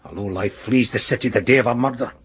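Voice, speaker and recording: Husky, bullying male police inspector. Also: mid-range voice, quick pace, fairly bright tone. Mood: irritable, dismissive. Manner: insistent, browbeating interrogator. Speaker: actor. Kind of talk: radio crime drama acting.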